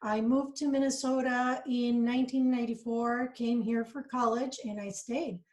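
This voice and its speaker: A woman's voice: a little high, flat delivery, moderate pace, darkish tone. A middle-aged woman from Costa Rica, a guest in a casual interview, talking in an earnest, reflective, upbeat way about her life and beliefs.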